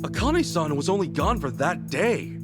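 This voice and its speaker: Animated, high-voiced, hot-headed hero. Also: male voice, normal speed, music in the background. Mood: confused, troubled. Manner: expressive, informal, exclaiming, swearing. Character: earnest, hot-headed, good-hearted Japanese middle-aged hero. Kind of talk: English-dubbed video game cutscene acting.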